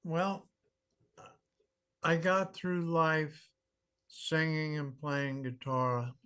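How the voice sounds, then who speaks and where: A man in his sixties, a guest in an interview, telling stories in a measured, reflective way. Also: fairly high pitch, level tone, fairly bright tone, clear, resonant tone. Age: 60s. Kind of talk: casual interview conversation.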